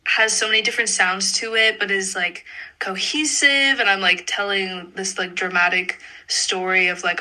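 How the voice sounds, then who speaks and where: A woman's voice: mid-range voice, bright, forceful tone, low-quality recording. A young adult woman chatting casually as an interviewed singer, very informal and peppering sentences with "like". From Canada. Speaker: pop singer being interviewed. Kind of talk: casual chat.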